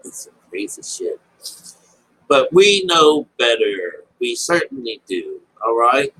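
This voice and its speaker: A man's voice: medium pitch, big pitch swings, a bit bright, slightly rough, poor audio. Rambling man giving informal Bible commentary. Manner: rambling, halting, repeats phrases; colloquial, folksy, mild swearing. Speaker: amateur Bible teacher.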